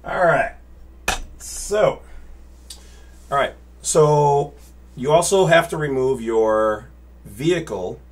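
A man in his forties explaining to a webcam in his home office, gesturing with his hands and checking in with "all right?" and "okay?" after his points. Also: mid-range voice. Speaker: channel host. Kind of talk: casual explainer to camera. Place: home office with bookshelves and monitors. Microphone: webcam at desk.

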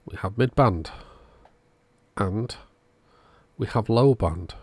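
A man's voice: fairly low pitch, expressive, takes it slowly, a little mellow, breathy edge, no room sound. A man narrating a repair job in a casual, matter-of-fact, understated way. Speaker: host of electronics repair channel.

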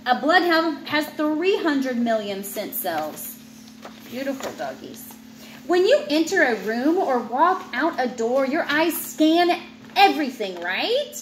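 A woman in her thirties reads a children's book aloud in a high, very lively voice. She takes her time and slips into chatty asides with a child. The recording is made in a roomy, echoey space at home. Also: takes it slowly. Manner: expressive, teacherly reading that breaks off into playful asides. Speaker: parent reading aloud to a child. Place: indoors in an open room at home with high ceiling. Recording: roomy home recording with clear background noise.

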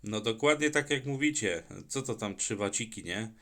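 A man's voice: fairly low pitch, moderate pace, a bit bright. A man talking casually as a CB radio operator, with a colloquial, plain-spoken manner. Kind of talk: casual chat in turns on CB radio.

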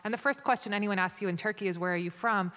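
A woman's voice: mid-range voice, fast talker, fairly bright tone. A woman from the United States speaking thoughtfully on a panel in an auditorium, into a handheld mic. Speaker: panelist, writer. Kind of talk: panel discussion. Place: large auditorium stage. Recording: long hall reverb.

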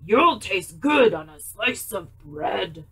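Big gruff male monster done by a woman, storybook, booming, slow, slightly off-mic. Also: very animated, fairly bright tone, far from the mic, full character voice.

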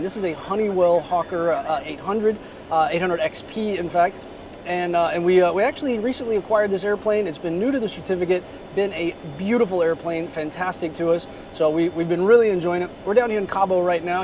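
A man in his thirties with a fairly high voice gives a relaxed, filler-laden tour of a small jet's cockpit. The camera sits at arm's length, and the busy hum of an airfield runs clearly behind him. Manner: easygoing, many "uh" fillers. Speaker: corporate pilot vlogger. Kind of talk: casual walkthrough video. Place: small jet cockpit, parked outdoors. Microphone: phone or camera held at arm's length. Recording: noisy airport background.

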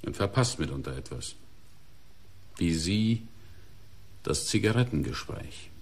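An actor playing a shrewd, methodical police inspector in a German radio crime drama, with a husky, deliberate delivery. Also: male voice, low voice, sing-song, full character voice. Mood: pointed, controlled.

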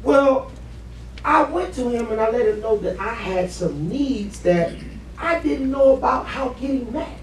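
Stage play: male actor as earnest, halting husband, needy, animated delivery, picked up from far off with some noise. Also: very high-pitched. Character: earnest, needy husband opening up to his wife. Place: living-room stage set indoors. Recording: roomy, distant camera mic, background noise.

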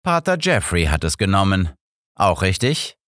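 Audiobook detective, old man acted by a male reader: blunt, cool, commanding, raised pitch. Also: sing-song, moderate pace, fairly bright tone, no echo, clean studio sound. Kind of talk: audiobook character dialogue.

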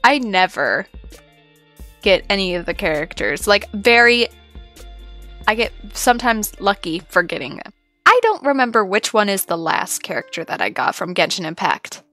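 A woman's voice: medium pitch, animated, normal speed, pushed and bright. A woman hosting a reaction video, chatting casually in a rambling, hesitant way with trailing thoughts. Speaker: host of a reaction channel. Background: faint music.

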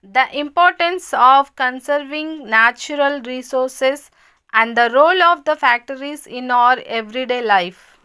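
Female voice-over for a kids' lesson: high, clear, unhurried, reads headings then explains. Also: sharp tone. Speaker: narrator of a school lesson video. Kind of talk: scripted lesson narration.

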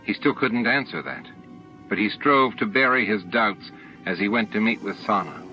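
A man reading a novel aloud as an expressive audiobook narrator. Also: on the low side, normal speed. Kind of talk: expressive scripted book narration. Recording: dull lo-fi audiobook tape recording. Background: music and sound effects.

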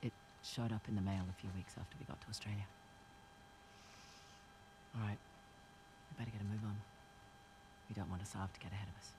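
A woman's voice: rumbling low, fairly even intonation, fairly quick, long pauses. An actress playing a wry, easygoing woman treasure hunter in a video game, talking casually and teasingly. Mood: wry, reflective. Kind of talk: naturalistic game dialogue. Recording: game ambience and vehicle noise behind.

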